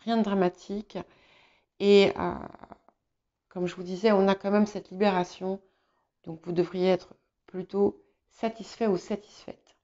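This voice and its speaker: A woman's voice: mid-range voice, fairly even intonation, a little slow, long pauses, dark tone. A woman whose first language is English, giving an informal tarot reading in a hesitant, reassuring manner, often searching for words. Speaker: tarot reader hosting guidance videos. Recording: slightly dull, muffled sound.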